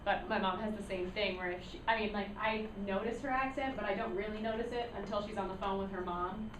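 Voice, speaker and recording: A woman's voice: mid-range voice, fast, noisy background. A young woman student chatting animatedly in a class discussion. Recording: echoey classroom, mic far off.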